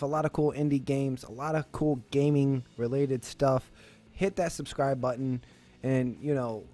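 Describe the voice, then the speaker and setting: A man's voice: medium pitch, a little mellow. A man hosting a casual gaming live stream, hyped and rambling, often stammering and restarting his words.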